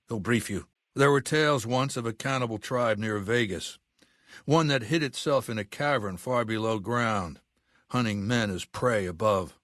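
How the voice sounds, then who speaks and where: An actor playing an imperious, cerebral middle-aged warlord in a video game, speaking with deliberate, disdainful authority. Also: male voice, on the low side, fairly bright tone, no room sound, studio quality, natural voice. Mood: commanding, curt, then musing, sly. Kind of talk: video game character dialogue.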